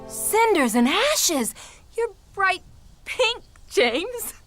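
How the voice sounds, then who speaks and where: A man voicing a teasing, amazed female steam engine in a children's cartoon, with drawn-out exclamations. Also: very high voice, sing-song, pushed and bright, faint music behind, pitched above the performer's own voice, heavily acted. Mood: amused shock. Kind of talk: children's cartoon character acting.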